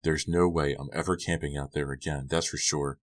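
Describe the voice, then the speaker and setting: A man voicing a sharp-tongued, disdainful teenage girl in an audiobook, speaking with scornful certainty. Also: very deep voice, steady tone, moderate pace. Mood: angry.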